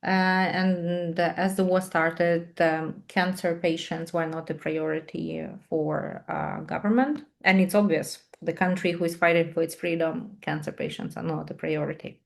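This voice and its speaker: A woman in her thirties from Ukraine with a Ukrainian accent, answering interview questions on a video call in thoughtful, halting English with many pauses and fillers. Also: on the low side, fairly even intonation, vocal fry. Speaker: guest, English teacher and patient advocate.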